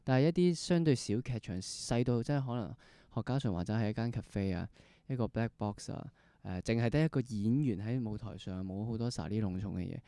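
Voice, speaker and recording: A man's voice: fairly low pitch, fairly quick, mellow, clean tone, no room sound. A man with a Hong Kong Cantonese accent hosting a conversational interview, building up long, thoughtful questions. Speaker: interview host. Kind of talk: conversational theatre podcast interview.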